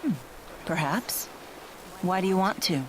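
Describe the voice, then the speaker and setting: A woman playing a regal, composed young warrior in a video game, speaking in a measured, formal way. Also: fairly low pitch, lively intonation, noisy background. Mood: considering. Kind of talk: video game English dub acting.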